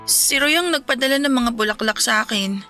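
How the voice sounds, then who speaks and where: Young woman best friend, caring, fondly scolding, radio drama, very high pitch, bright tone. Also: a little fast. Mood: hesitant, confessing. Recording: faint music behind.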